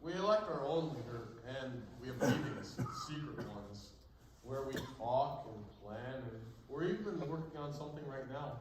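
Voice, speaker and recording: Far off in a big echoey hall, young guy acting a shy brave teen boy prisoner onstage, kinda halting. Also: mid-range voice, lively intonation, close to an everyday voice. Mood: eager, explaining. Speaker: amateur stage actor. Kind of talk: one-act stage play acting. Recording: echoey stage, distant mic, faint noise.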